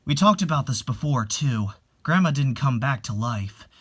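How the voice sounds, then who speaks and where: A man playing a calm, gentle young married man in a dramatic reading, soft-spoken and reflective. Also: mid-range voice, moderate pace, husky. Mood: wistful, explanatory.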